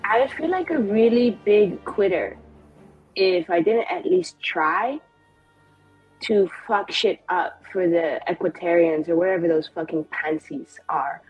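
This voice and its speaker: A woman's voice: fairly low pitch, lively intonation, a little slow, husky, no echo, dull audio, faint music behind. A woman playing a confident, spiteful female elven mage in a tabletop game, brash and foul-mouthed in manner. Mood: spiteful, vehement.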